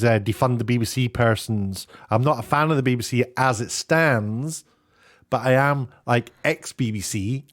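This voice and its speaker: A man giving casual, off-the-cuff commentary in a halting, rambling way, often breaking off to rephrase. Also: medium pitch, dry recording, studio quality. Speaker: online commentator, former broadcast worker.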